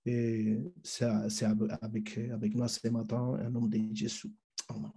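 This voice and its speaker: A man with a Spanish accent, Spanish being his first language, giving a church webinar presentation over a video call, halting with many restarts. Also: fairly low pitch, monotone, dark tone, slightly rough. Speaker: youth ministry speaker.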